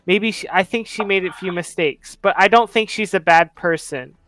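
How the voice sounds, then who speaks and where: High-voiced, earnest teenage boy, played by a man. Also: a bit bright. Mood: earnest, pleading. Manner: hesitant, slow, earnest. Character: earnest, kind teenage boy pleading as witness. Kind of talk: tabletop roleplay character speech.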